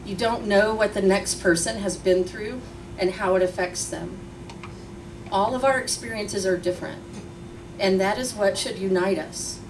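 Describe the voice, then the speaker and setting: A woman reading a letter aloud at a memorial gathering, giving short listed phrases with pauses between them. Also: mid-range voice. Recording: distant mic, noisy crowded venue.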